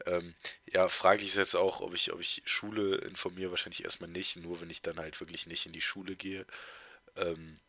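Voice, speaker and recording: A man's voice: deep voice, little pitch movement, moderate pace, bright, forceful tone, no echo. A teenage boy co-hosting a casual podcast chat, congested with a heavy cold, rambling with lots of fillers and restarts. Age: teens. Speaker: podcast co-host.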